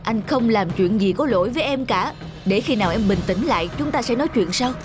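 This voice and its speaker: A man voicing a patient, reasonable young husband in an animated drama, speaking in an earnest, explanatory way. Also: high-pitched, a little fast, over music. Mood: firm, restrained. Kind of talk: animated cartoon drama acting.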